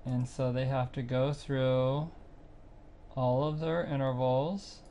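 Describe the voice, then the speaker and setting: A man narrating a screencast tutorial, explaining a music training website step by step in a plain, unhurried way with occasional fillers. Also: mid-range voice, flat delivery, warm tone, slight background noise. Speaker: site instructor demonstrating software.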